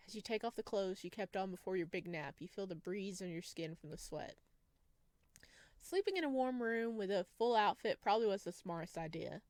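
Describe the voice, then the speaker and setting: A woman's voice: mid-range voice, darkish tone, no echo. A woman doing a let's play, reading visual novel narration aloud and dropping in chatty, casual asides. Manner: chatty asides, reacts to the story. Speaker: video game streamer.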